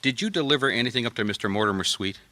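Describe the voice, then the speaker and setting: A man's voice: mid-range voice, very fast, pushed and bright, lightly acted. An actor playing a blunt, commanding private detective and ex-policeman in a radio mystery. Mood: businesslike, probing. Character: no-nonsense male private detective, former city policeman.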